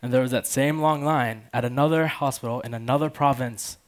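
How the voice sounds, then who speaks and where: A man's voice: medium pitch, fairly even intonation, fairly quick. Young American man giving an informal talk. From Chicago, United States, born in Afghanistan. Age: around 20. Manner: casual, peppered with "you know" and "like". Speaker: conference speaker. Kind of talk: informal conversational keynote talk on stage. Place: large auditorium stage with audience. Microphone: headset.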